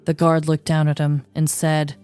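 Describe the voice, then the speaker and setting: A woman narrates a scripted true-crime tale as a podcast host. Her voice sits a little low and her telling is measured and articulate. Faint music plays underneath. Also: monotone, darkish tone, clear, resonant tone.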